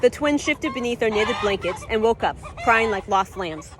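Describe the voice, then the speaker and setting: A woman reading her own fantasy story aloud as dramatic narration, expressive, sometimes stumbling and repeating a phrase. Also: on the high side, moderate pace, background noise.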